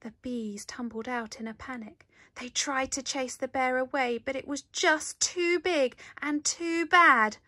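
A woman reading a children's story aloud in an animated way, with dramatic emphasis. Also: high-pitched, measured pace, few pauses, no room sound, clean studio sound. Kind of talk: expressive picture-book read-aloud.

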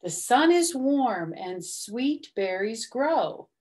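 Slow-paced middle-aged woman reading to children. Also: on the high side. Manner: expressive, slow, simple explaining for young children. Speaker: storytime reader. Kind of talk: children's picture book read-aloud with chatty asides. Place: small room at home, indoors.

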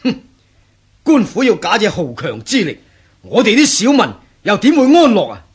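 Husky, high-pitched bandit chief. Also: male voice, huge pitch swings, normal speed, full character voice. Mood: bitter, cynical. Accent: Hong Kong Cantonese. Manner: indignant, scoffing, rhetorical. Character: defiant, bitter male bandit chief with grievances. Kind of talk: radio drama acting.

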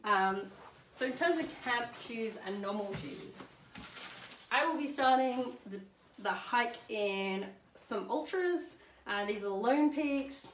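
A woman's voice: a little high, expressive, slow pace. A young woman chatting casually through her hiking gear at home, with many ums, standing back from the camera in her living room. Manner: chatty, upbeat, many "um"s, holds up items while talking. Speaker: hiker presenting her gear list. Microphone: camera standing a few metres away. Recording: roomy, distant camera mic, faint hiss.

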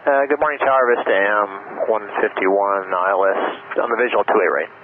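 Quick male pilot on a tinny radio. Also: fairly low pitch. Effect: aviation radio. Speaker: airline pilot. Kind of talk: clipped radio readbacks. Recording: tinny cockpit radio, faint noise.

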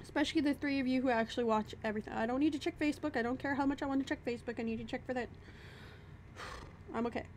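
A fairly high-voiced woman rambles cheerfully at her desk mic, chatty and self-deprecating as she plays. She mutters to herself while sorting through her things. Faint hiss sits under the voice. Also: very fast, darkish tone. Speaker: YouTuber playing a block-building game. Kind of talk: casual gameplay chatter to the camera. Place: home desk. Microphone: desk microphone at a computer.

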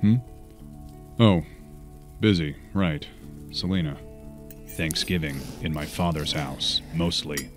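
A man playing a brooding, grim masked vigilante in a comic dub, speaking in a terse, grave, measured way. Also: very deep voice, faint music behind. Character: brooding grim masked vigilante detective narrating his thoughts.

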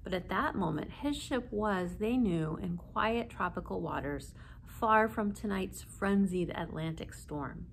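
A woman's voice: mid-range voice, animated, measured pace, a little hiss or hum. A woman in her forties reading a children's story aloud expressively, seated indoors a short distance from the camera.

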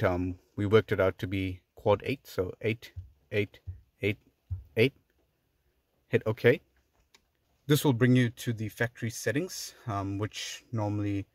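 A man's voice: on the low side, dry recording. A man hosting a how-to video, explaining things step by step in a casual, conversational way inside a parked car. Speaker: video host giving a tutorial.

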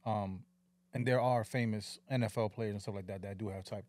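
A middle-aged man chats casually as a podcast host. His voice sits fairly low and moves quickly, recorded close and dry in a studio. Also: steady tone, darkish tone, no room sound. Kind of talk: casual interview chat. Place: small indoor podcast studio. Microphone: studio mic on boom arm.

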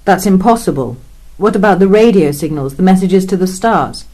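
A woman's voice: lowish voice, lively intonation, moderate pace, warm tone, poor audio. A woman voicing an incredulous female alien official who questions everything in a wry, skeptical way in a two-voice science fiction story reading.

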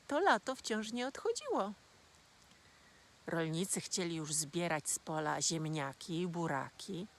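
Slow-spoken woman telling a fairy tale. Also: lowish voice, a little hiss or hum. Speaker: storyteller.

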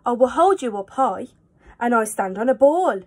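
A woman's voice: a little high, animated, moderate pace, a little mellow, breathy edge. A woman voicing a playful, confident, boastful cat in a children's storybook, showy and rhyming. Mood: reassuring, boastful. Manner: showy, exclaiming, rhythmic rhyme. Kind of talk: children's storybook read-aloud.

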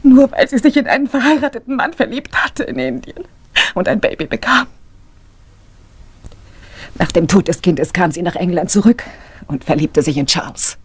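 A woman playing a bitter, grieving young woman in a radio crime drama, speaking intensely and haltingly with a breathy voice. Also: mid-range voice, expressive. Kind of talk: emotional radio drama monologue.

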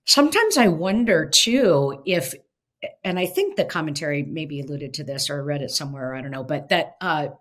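A woman's voice: low-pitched, animated, moderate pace, a bit bright. A middle-aged woman, a professor, thinking aloud reflectively in a casual theological discussion, recorded over a video call from a home office. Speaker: professor and podcast co-host. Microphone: video call webcam.

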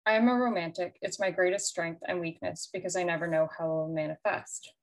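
A woman artist reading her prepared statement slowly and carefully over a video call. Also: lowish voice, fairly even intonation, low-quality recording. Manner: slow, measured reading. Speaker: exhibiting artist.

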